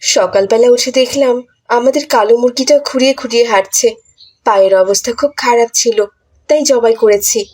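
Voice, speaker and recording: A woman's voice: fairly high pitch, fairly quick, darkish tone, slightly rough. A woman playing a hospitable, devout village wife in a moral cartoon, speaking politely and matter-of-factly. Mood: explaining. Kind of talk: Islamic moral cartoon dialogue.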